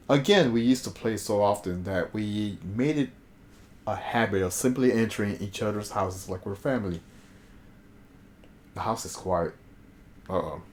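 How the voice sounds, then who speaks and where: A man's voice: fairly low pitch, slight background noise. A man streaming a game, reading its text aloud with casual asides and sometimes stumbling over the words. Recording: background music behind voice.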